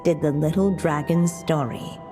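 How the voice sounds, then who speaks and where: An actress playing a solemn, tender motherly storyteller, narrating a storybook tale in a game with formal, measured delivery. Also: sits low, over music, lightly acted. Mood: gentle, solemn. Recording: long reverb.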